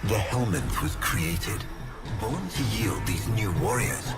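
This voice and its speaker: Haughty bitter game villain, really low voice, theatrical and menacing, loud music under it. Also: male voice, animated, slow. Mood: bitter, grave. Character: haughty, bitter male villain, a vengeful aristocratic schemer. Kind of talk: dramatic game villain monologue.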